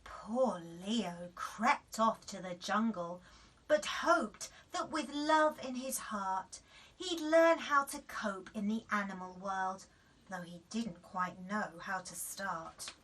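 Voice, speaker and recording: A woman storyteller giving an expressive reading of a children's bedtime story. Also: mid-range voice, slow, distant mic, poor audio.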